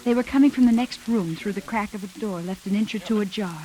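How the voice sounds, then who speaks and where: An American actress playing a frightened, spirited young captive in a 1940s radio drama, breathless and emotive. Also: female voice, medium pitch, fast, mellow, lightly acted. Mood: shaken recounting. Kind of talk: 1940s radio acting, dramatic first-person narration. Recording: noisy 1940s radio recording, rain behind. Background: rain and vehicle sounds.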